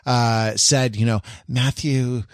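Halting man chatting, close dry sound. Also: medium pitch, steady tone, no echo. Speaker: podcast co-host. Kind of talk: casual chat.

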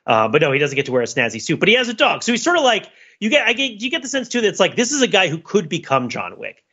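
Man, sharp bright voice, podcast co-host, enthusiastic film analysis, rambling asides, slightly dull lo-fi recording. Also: high voice, wildly swooping pitch, quick pace, husky. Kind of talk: casual film discussion, analytical chat.

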